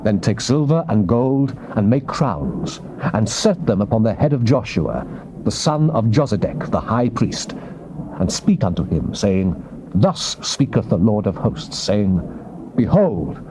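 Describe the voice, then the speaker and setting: An actor playing a solemn Old Testament prophet in a dramatized scripture reading, proclaiming God's word in a declamatory, theatrical manner. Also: male voice, medium pitch. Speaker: Bible narrator. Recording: noisy old cassette tape transfer.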